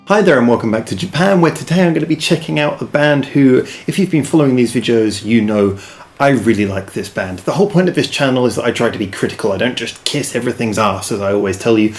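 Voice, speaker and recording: A man's voice: mid-range voice, a little hiss or hum. A man reviewing a song in a casual, rambling way, self-deprecating and full of restarts. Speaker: music reaction reviewer. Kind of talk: casual chat.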